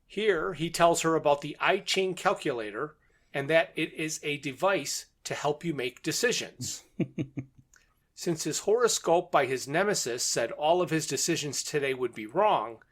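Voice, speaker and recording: A man's voice: on the high side, lively intonation, measured pace, fairly bright tone. A man co-hosting a podcast, casually recapping and discussing a story with wry, amused asides. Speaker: podcast co-host. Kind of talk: casual book and radio drama discussion.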